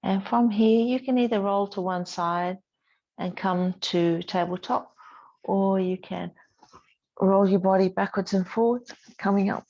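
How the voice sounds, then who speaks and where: Slow, even-toned woman guiding yoga. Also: mid-range voice, muffled recording. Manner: soothing, unhurried, with gentle pauses between short cues. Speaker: yoga instructor. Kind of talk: guided yoga and relaxation instruction.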